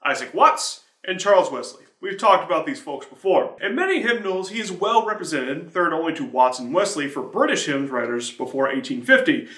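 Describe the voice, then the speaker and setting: A man with a fairly high voice reads a scripted devotional as its presenter. His delivery swings up and down in a very lively way, and the room around him rings with noticeable echo. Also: moderate pace, hardly any pauses. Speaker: church media director presenting a devotional. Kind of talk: scripted reading of a hymn history devotional.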